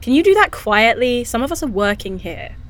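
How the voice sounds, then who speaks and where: A woman acting naturalistically in an audio drama as a caring, no-nonsense scientist and medic, with a dry, brisk, informal manner. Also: a little high, big pitch swings, slight background noise. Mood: dry irritation.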